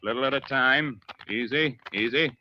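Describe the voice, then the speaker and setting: An actor playing a steady, commanding navy diving officer in an old-time radio drama, giving terse, firm, reassuring orders. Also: male voice, medium pitch, moderate pace, highs cut off, slight background noise, natural voice. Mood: calm, coaching.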